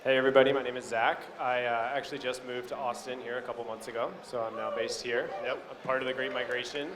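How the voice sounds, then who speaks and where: A man's voice: medium pitch, flat delivery, fast, fairly bright tone, a little hiss or hum. A man speaking casually as a panelist, introducing his work to a live audience. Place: live stage event. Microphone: stage microphone. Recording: audience murmur at live event.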